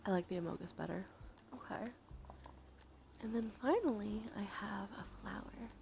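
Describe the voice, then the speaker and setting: A woman streaming ASMR, talking softly and breathily into a close microphone, gently repeating phrases to her live chat. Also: medium pitch, background noise. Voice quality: whispery, breathy. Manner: gentle, slow repetition of phrases. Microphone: close sensitive ASMR microphone. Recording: soft music, crinkling behind. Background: music, crinkling and brushing sounds.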